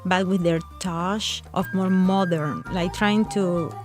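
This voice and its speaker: Cuban woman telling an animated story. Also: lowish voice, slow pace, faint music behind. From Cuba. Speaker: interviewee, musician's wife.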